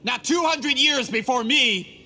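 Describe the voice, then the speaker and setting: A man's voice: very high-pitched, a little slow, sharp tone, full character voice. A man voicing a greedy, scheming male printing merchant in a game cutscene, smug and scornful. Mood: bitter. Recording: game audio with reverb and music.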